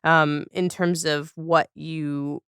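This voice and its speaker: Clear-voiced woman hosting a casual interview. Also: low voice, flat delivery, takes it slowly, a bit bright, dry recording, studio quality. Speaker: podcast host, interviewer.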